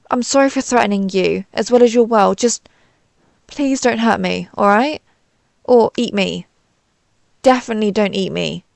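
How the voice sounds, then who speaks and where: A woman's voice: medium pitch, vocal fry, no echo, close to an everyday voice. A woman playing a pompous, easily flustered female alien invader in a scripted audio roleplay, with haughty, theatrical, formal phrasing. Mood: pleading.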